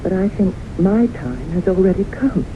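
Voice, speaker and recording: An actress playing a haunted, earnest woman in a 1970s radio drama, speaking with halting, deliberate intensity. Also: on the low side, expressive, lightly acted. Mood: earnest, confiding. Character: haunted, earnest woman convinced death pursues her. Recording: noisy, muffled 1970s radio broadcast recording.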